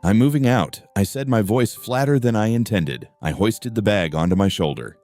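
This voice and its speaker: Deep-voiced young man narrating. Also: moderate pace, no room sound. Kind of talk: scripted first-person story narration. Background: soft music.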